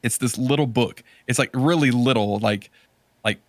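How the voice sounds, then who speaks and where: A man's voice: medium pitch, normal speed, no room sound. A man chatting casually as a podcast host, rambling and enthusiastic about his subject. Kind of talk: casual chat about retro games and movies.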